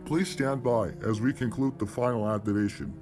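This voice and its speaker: A man's voice: mid-range voice, fairly even intonation, moderate pace. A man making a grave, formal emergency alert announcement, stumbling now and then. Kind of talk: mock emergency alert broadcast. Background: loud music.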